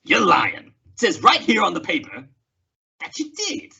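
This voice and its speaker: An actor playing a gruff, menacing male outlaw in an old-time radio western. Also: high-pitched, huge pitch swings, a little slow, bright, forceful tone, full character voice. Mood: accusing, menacing. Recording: dull lo-fi audio with hiss, clicks.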